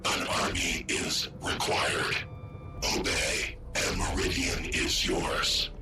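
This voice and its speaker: A merciless ancient machine mind for a video game. The voice is extremely deep and flat, synthetic and booming. It hands down cold, clipped orders under loud music. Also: male voice. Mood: cold, commanding. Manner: slow, monotone, clipped commands. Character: ancient malevolent artificial intelligence, cold, commanding, merciless. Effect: machine voice processing.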